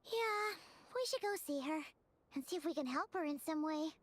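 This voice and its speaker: Squeaky game fairy sidekick, very high and springy, eager and chatty. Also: female voice, normal speed. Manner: excitable, calls out loudly. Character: small floating fairy companion, chirpy and eager. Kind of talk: video game voice acting.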